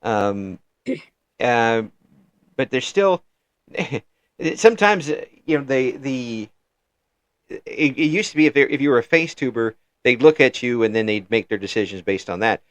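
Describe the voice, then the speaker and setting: A man hosting a casual interview, talking in halting restarts and chuckling often. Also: medium pitch, dry recording. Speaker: interview show host. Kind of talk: casual video-call interview chat.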